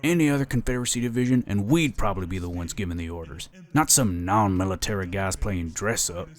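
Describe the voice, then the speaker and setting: A boastful young soldier played by a man trades banter in a sci-fi audio drama. He is cocky and casual, with a fairly low voice and a habit of griping. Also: quick pace, lightly acted. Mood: resentful.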